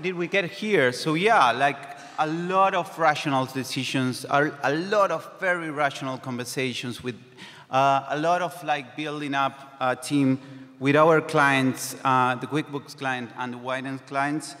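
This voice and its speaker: A man's voice: mid-range voice, measured pace, pushed and bright. A man in his thirties, a non-native English speaker from South America, giving a conference talk as a creative director, hesitant and full of ums. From Brazil or Argentina. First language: Spanish or Portuguese. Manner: hesitant, many ums and restarts. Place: stage in a large hall. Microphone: stand mic. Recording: reverberant hall.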